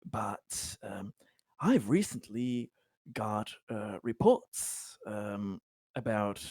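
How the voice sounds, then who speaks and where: Casting: man as fussy male colony official for tabletop game, probing, halting, animated intonation, dry close sound. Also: fairly low pitch, no room sound, exaggerated voice. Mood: officious, probing. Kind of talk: improvised tabletop roleplay acting.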